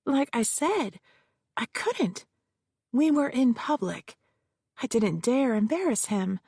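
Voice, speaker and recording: A woman's voice: on the high side, very animated, slow, darkish tone, slightly rough, no echo, pitched above the performer's own voice, exaggerated voice. A defensive young woman on the witness stand, as a narrator voices her, drawling in a Southern California accent and keeping her answers short. The voice sits close and dry, with no room sound.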